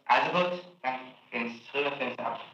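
A man's voice: medium pitch, quick pace, sharp tone, reverberant, dull audio, slight background noise. A man working as a prosecutor, questioning a suspect firmly and insistently. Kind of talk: police interrogation tape.

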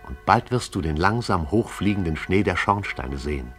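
A man narrates a radio play in a very deep voice. He tells the story briskly and vividly, painting each scene. Soft music plays faintly beneath him. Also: lively intonation.

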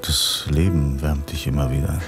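A man acting in a German radio drama as a steady, grim but consoling Russian revolutionary leader, speaking tersely and matter-of-factly. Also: very deep voice. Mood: calm, consoling. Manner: terse, measured, matter-of-fact. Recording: studio radio play with music behind.

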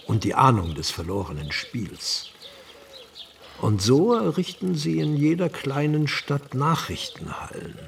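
A gravelly frail old Turkish gentleman. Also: mid-range voice, far from the mic, slight background noise. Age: older. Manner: slow, solemn, courtly and philosophical. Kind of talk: radio drama acting.

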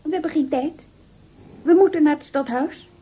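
An actress playing a brisk, no-nonsense bride-to-be in a radio play, scolding and questioning in exasperation. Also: female voice, high-pitched, close to an everyday voice. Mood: exasperated. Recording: thin, narrow-band old radio recording.

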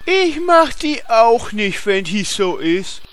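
An actor playing a slow, simple-minded gamer adventurer in a parody fantasy audio drama. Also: mid-range voice, sing-song, a bit bright, slight background noise. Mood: dim, drawling.

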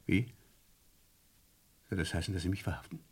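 A man's voice: sits low, steady tone, moderate pace, close to an everyday voice. An actor playing an anxious, polite accused man in a German crime radio play, hesitant and searching for words. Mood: uneasy politeness. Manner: hesitant, searching, repeating himself.

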